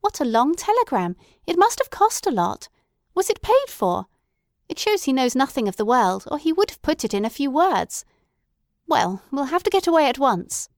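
An impatient middle-aged mother talks in a brisk, fussy, faintly scornful way, played by a woman reading aloud. Her voice sits somewhat raised and firm. The sound is dry and close. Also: high-pitched, big pitch swings, no echo, voice pushed up.

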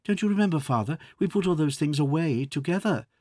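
A man's voice: a little high, animated, fast, darkish tone, no echo, clean studio sound, voice pushed up. A man voicing a naive young daughter. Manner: naive, earnest.

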